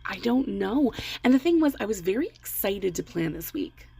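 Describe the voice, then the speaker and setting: A woman in her thirties with a croaky voice, recovering from laryngitis, chatting casually in a rambling, self-deprecating voiceover at home. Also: medium pitch, sing-song, moderate pace, dark tone. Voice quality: croaky, gravelly voice. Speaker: planner vlogger. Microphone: voiceover mic.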